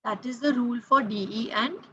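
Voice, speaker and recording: A woman's voice: a little high, brisk, fairly bright tone, breathy edge, no room sound, muffled recording. A woman, teaching French grammar patiently, repeating key points and spelling out letters. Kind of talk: explaining grammar to students.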